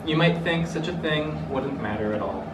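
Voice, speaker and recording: A man's voice: a little high, animated, normal speed. A young man reading a rhyming children's storybook aloud. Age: young adult. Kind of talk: storybook read-aloud in rhyme. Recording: roomy, distant mic, clearly noisy.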